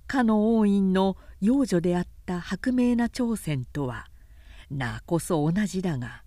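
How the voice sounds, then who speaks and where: A woman narrates a literary historical tale at a very unhurried pace. Her delivery is deliberate and measured, with a medium-pitched voice in a dry, echo-free recording. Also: lively intonation, warm tone.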